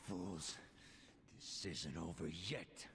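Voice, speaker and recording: An actor playing a tough, brash, dogged man in a dramatic crime video game, with a gravelly voice. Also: deep voice, extremely slow, slight background noise, full character voice. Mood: angry, urgent. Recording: game audio under loud music.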